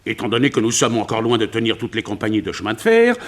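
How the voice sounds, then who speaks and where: Earnest wealthy financier, formal, grave, emphatic, animated intonation, radio drama. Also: male voice, fairly low pitch, fast talker. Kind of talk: detective radio play acting.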